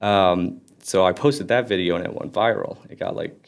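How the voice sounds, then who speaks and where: Gravelly low-voiced man in his thirties. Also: moderate pace. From born in Lebanon, raised in Florida. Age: 30s. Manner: easygoing, often repeats "yeah, yeah". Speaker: guest, emergency doctor. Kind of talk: casual podcast chat.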